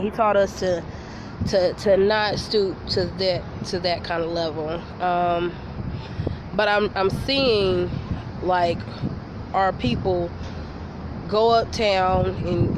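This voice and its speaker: A woman with a stuffy, nasal voice from a cold speaks straight to camera in a loose, rambling way, often tripping over her words and restarting. She is recorded outdoors, with clear wind and traffic noise around her. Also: medium pitch. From Charlotte, North Carolina, USA. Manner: rambling, frequent restarts, grows heated. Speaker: vlogger. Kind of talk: casual opinion vlog. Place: outdoors, near passersby.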